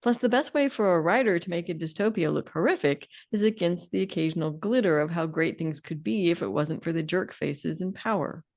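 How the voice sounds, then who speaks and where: A woman's voice: fairly low pitch, brisk, hardly any pauses, a little mellow. A woman co-hosting a podcast discussion, speaking casually with playful, colourful phrasing. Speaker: podcast co-host.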